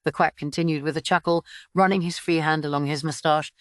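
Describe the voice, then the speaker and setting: A woman's voice: low voice, steady tone, brisk, no room sound, studio quality. A woman reading scripted story narration for an audiobook.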